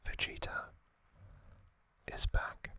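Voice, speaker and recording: A man whispering breathily as an ASMR host, telling a story in hushed, short phrases with pauses between them. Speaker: host of an ASMR trivia channel.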